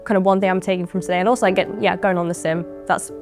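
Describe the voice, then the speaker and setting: A woman's voice: on the low side, flat delivery, rapid-fire, music in the background. An eighteen-year-old woman racing driver talking casually about racing. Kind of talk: interview soundbites.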